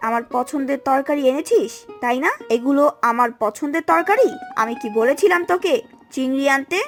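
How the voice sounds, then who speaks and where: Woman acting a high-pitched, scolding cartoon village aunt. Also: a little fast, soft background music. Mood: sarcastic scolding. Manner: sarcastic, nagging. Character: bad-tempered, scolding village aunt and housewife.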